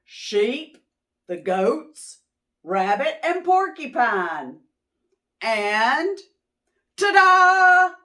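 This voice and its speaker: A woman's voice: high-pitched, big pitch swings, very slow, pauses often, bright, forceful tone, voice pushed up, full character voice. A woman voicing a proud, jolly male moose announcing things in a children's storybook. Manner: showy, announcing. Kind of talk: children's picture-book read-aloud.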